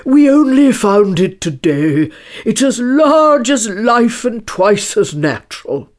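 A woman's voice: on the high side, sing-song, takes it slowly, darkish tone, voice pushed up. A woman voicing an eager, solemn male hare in a storybook, announcing things in an earnest, emphatic way.

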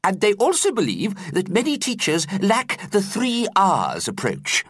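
A man voicing a sweet, fretful old male schoolteacher in a high, squeaky voice for a storybook reading. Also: wildly swooping pitch, unhurried, fairly bright tone, slightly rough, no room sound, studio quality, exaggerated voice. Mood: worried. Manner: fretful, hesitant.

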